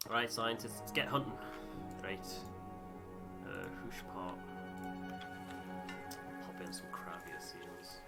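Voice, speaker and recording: A man's voice: deep voice, takes it slowly, pauses often. A man giving casual gameplay commentary, often muttering and thinking aloud. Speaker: gamer, playthrough host. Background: game music.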